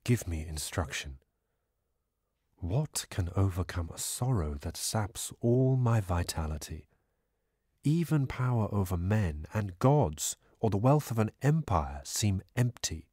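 Anguished warrior prince played by a man in a scripture audiobook: low, husky, pleading, torn. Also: sing-song, unhurried, warm tone, no echo, clean studio sound, exaggerated voice. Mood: defeated, pleading.

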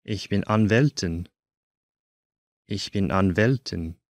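A man teaching a language course, saying each short phrase twice in slow, careful drills. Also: low voice, dry recording, studio quality.

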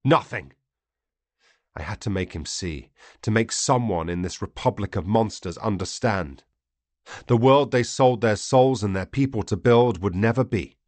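A man reading a first-person story as an audiobook narrator, grave, theatrical and deliberate. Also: fairly low pitch, a little mellow, dry recording.

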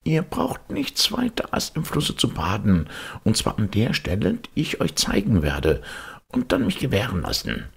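High-voiced sly storybook cat. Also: male voice, wildly swooping pitch, normal speed, vocal fry, slightly rough, full character voice. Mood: persuasive, scheming. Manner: earnest, self-important, theatrical. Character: sly, flattering male cat servant in boots.

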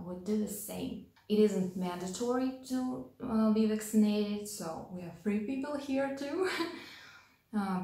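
A young woman from Russia with a thick Russian accent, speaking careful, slightly halting English in a personal vlog to camera. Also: medium pitch, darkish tone, reverberant. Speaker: vlogger. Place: small home room with a piano. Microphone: handheld clip-on mic held near the mouth.

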